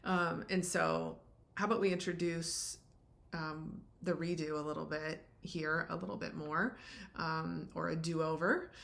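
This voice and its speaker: Middle-aged woman, low voice, gravelly fry, reflective podcast co-host. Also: steady tone, measured pace, a bit bright. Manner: reflective, many affirming mm-hmms. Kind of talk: conversational couples podcast.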